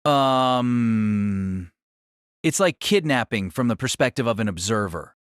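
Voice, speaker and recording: A woman's voice: extremely low pitch, lively intonation, slow pace, no echo, studio quality, full character voice. A woman playing a polite, bewildered teenage boy student in an audio drama, hesitant and questioning. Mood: hesitant, subdued. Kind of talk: anime-style audio drama acting.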